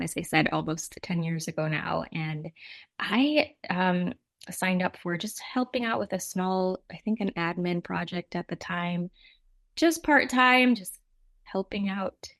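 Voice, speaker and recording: A woman's voice: fairly low pitch, vocal fry, a bit bright. A middle-aged woman from Jamaica answering interview questions conversationally, in a reflective, explanatory way with frequent asides. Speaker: nonprofit representative, interview guest.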